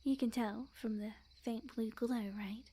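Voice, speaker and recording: A woman acts out a lovestruck witch in an ASMR roleplay, sweet on the surface and possessive underneath. Her voice is soft, very breathy and often whispered, with a mellow tone. She speaks slowly, coy and teasing, fully sure of herself. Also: on the high side, fairly even intonation. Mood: sweetly coaxing. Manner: slow, coy, teasing, overconfident. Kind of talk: ASMR roleplay, scripted, addressed to the listener.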